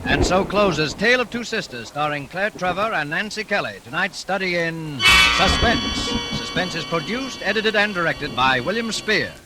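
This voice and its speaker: A male announcer in 1940s radio style. His voice is high for a man and formal in delivery, set against loud music. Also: animated, normal speed. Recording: vintage radio recording.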